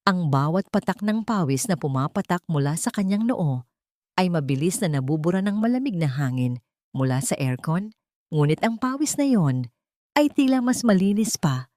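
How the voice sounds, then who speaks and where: A woman's voice: fairly low pitch, big pitch swings, moderate pace, mellow, no room sound, clean studio sound. A woman narrating a scripted, dramatic story.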